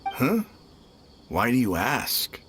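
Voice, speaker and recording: A man's voice: mid-range voice, huge pitch swings, takes it slowly, background noise. An actor playing a casual, defensive male gate guard in a video game, with a shrugging manner. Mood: casual, wary. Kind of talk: scripted video game voice acting. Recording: music behind the voice.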